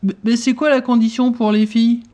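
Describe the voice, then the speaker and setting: A man's voice: very high voice, little pitch movement, fairly quick, mellow, voice pushed up. A man voicing a fussy, nerdy young male cartoon sidekick with a hesitant, whiny, pedantic way of talking.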